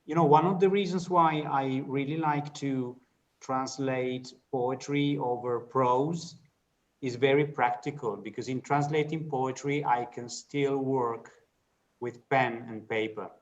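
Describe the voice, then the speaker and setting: Male translator on a discussion panel, unhurried delivery, muffled low-fidelity audio. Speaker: literary translator. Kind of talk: panel discussion, explaining working method.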